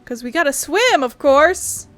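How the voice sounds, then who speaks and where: A woman's voice: high voice, animated, unhurried, a bit bright. A woman giving casual let's-play commentary, grumbling bluntly and swearing as she plays. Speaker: gaming video host. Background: game music.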